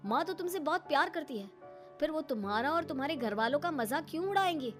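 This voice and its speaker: A sceptical, caring young Indian husband voiced by a woman storyteller in a domestic tale. The voice is high for the part, with faint music behind. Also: animated, fast talker. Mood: doubtful, caring. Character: young married Indian man, sceptical but caring son.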